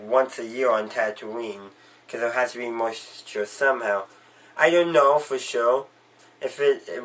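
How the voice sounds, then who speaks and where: A man's voice: mid-range voice, a bit bright. A man recovering from a cold, giving a casual, rambling spoken review with frequent restarts. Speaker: video reviewer.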